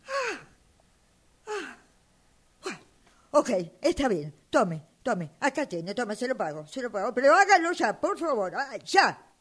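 A woman's voice: high voice, very animated, unhurried, long pauses, fairly bright tone, slightly rough, exaggerated voice. A woman playing an exasperated, insistent elderly widow in an amateur radio drama, complaining in a flustered, dramatic way. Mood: agitated, exasperated. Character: exasperated elderly widow fed up with her phone.

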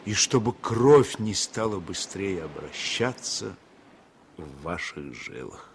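A man's voice: sits low, sing-song, slow pace, gravelly. A man giving a solemn, earnest literary reading for radio. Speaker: reader. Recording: old radio recording with loud hiss.

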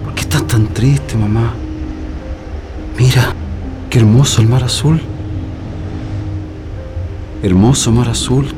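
An actor playing a frightened young political prisoner in a radio drama, halting and submissive, with a Chilean accent. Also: male voice, mid-range voice, fairly even intonation, over music, close to an everyday voice. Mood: tender, mournful. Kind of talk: radio theatre acting.

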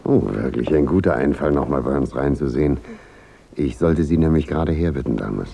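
A man's voice: a bass voice, a little fast, vocal fry, background noise. An actor playing a slyly mocking henchman with drawling mock politeness in a radio crime drama. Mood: mock-polite.

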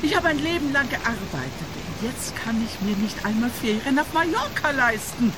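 Older woman, money-grubbing prophet of doom, querulous, animated pitch, radio drama, rainy noisy backdrop. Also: moderate pace. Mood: grumbling, greedy. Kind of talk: radio play acting.

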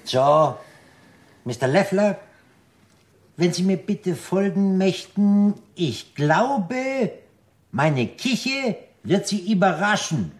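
A suave restaurant proprietor in a 1960s radio drama. He speaks slowly and with great ceremony, his pitch swinging widely. He is courteous and sly, with an air of command. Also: very animated, heavily acted. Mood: silky, courteous. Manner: formal, elaborately polite, slow and measured.

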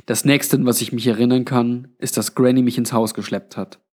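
A man's voice: mid-range voice, fairly even intonation, fairly quick, darkish tone, lightly acted. A man voicing a weary, blunt old undead man with a grave, resigned manner in a narrated story. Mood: grave recollection.

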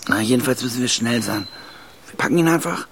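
An actor playing a jittery, earnest married man in a naturalistic radio drama, speaking in a hushed, conspiratorial manner. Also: medium pitch, animated, a little fast, gravelly, slight background noise. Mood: urgent, scheming.

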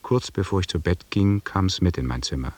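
An actor playing a correct, reserved lieutenant in a German radio drama, talking in a formal, measured way with a husky voice. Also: male voice, low voice, animated, darkish tone, no room sound. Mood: reserved, sober.

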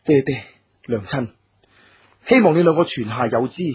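Scholar-official husband: very husky, lively intonation, Cantonese, earnest and filial, radio drama over soft music. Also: male voice, mid-range voice, normal speed. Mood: wistful. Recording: music under the voice.

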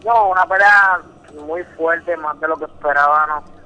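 A young Puerto Rican man speaks Spanish with a Puerto Rican accent, answering a radio interview over the phone. His voice sits a little high and sounds bright and forceful. He tells his story earnestly and repeats words as he goes. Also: measured pace, low-quality recording. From Puerto Rico. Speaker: interviewed boxing champion.

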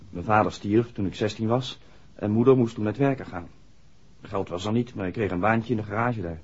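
Young village mechanic in old 60s radio play, polite, unsure, bit husky, muffled sound. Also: male voice, lowish voice, darkish tone. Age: young adult. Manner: hesitant, deferential to his questioner. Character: earnest young village garage man, worried about mother. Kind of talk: 1960s radio play acting. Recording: dull 1960s radio drama recording.

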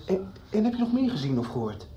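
A woman's voice: mid-range voice, very animated, fast talker, mellow, muffled recording, natural voice. A woman playing a secretive, anxious teenager in a Dutch radio soap, speaking evasively and hesitantly. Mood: guarded, curious.

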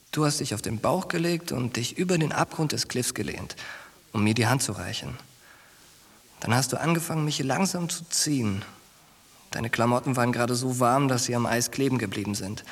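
Al, brash young soldier. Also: male voice, mid-range voice, vocal fry, husky. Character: Al, brash, cocky young soldier, loyal boyhood friend. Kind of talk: German radio drama acting.

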